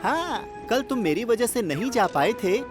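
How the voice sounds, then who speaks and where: An actor voicing an eager, clumsy young male train in an expressive, earnest way for a children's cartoon dub. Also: mid-range voice, unhurried, music in the background. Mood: excited, eager.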